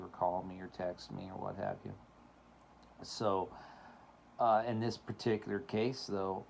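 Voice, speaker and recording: Gravelly low-voiced man rambling casually. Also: darkish tone, slight background noise. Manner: rambling, many fillers, laughs often. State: voice cracking. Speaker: show host. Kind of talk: casual monologue.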